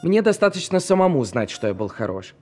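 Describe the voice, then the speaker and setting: A man's voice: on the high side, sing-song, brisk, darkish tone, faint music behind. A man acting in an audio drama as a proud, touchy costumed performer who talks in a defensive, sardonic way.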